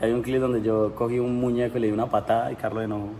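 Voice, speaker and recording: A man's voice: medium pitch, level tone, rapid-fire, background noise. A young man from Colombia, chatting and joking on a live stream as he shows around his house at night. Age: young adult. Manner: joking, teasing, playful. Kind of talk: casual live-stream chat. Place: house at night, indoors and outdoors.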